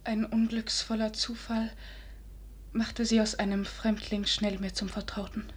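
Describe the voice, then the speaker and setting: An actress playing a grief-stricken young princess in a 1950s German radio drama, husky, solemn and restrained. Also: female voice, medium pitch, little pitch movement, unhurried. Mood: grieving, composed. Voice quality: husky, breathy. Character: grief-stricken young princess forcing herself to stay composed.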